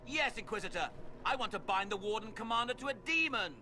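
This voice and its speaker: High, sharp, haughty villain mage. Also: male voice, expressive, a little fast, slight background noise, heavily acted. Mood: sardonic, impatient. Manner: sardonic, theatrical. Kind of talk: dramatic video game voice acting.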